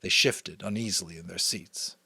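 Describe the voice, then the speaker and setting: A man's voice: low voice, moderate pace, creaky, bright, forceful tone, husky, no echo. An American man reading an audiobook as dramatic narration, with theatrical, suspenseful phrasing. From United States. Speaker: audiobook reader.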